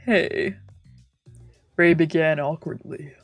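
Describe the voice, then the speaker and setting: Slow-paced, lowish-voiced playful woman streamer. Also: steady tone, no echo, faint music behind. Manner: playful, giggly. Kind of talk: let's play, reading visual novel text aloud with casual reactions.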